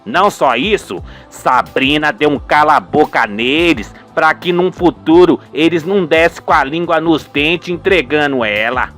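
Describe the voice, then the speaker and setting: A man's voice: a little high, unhurried, hardly any pauses, a bit bright, soft background music, pitched below the performer's own voice. A man voicing an indignant old cheesemaker, folksy and emphatic, in a radio soap opera. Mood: accusing, indignant.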